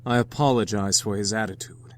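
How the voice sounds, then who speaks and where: A man's voice: lowish voice, steady tone, fairly quick, close to an everyday voice. A man voicing a stern, courteous young demon, a formal elder brother in a visual novel game, speaking politely and precisely with some hauteur. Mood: courteous, composed.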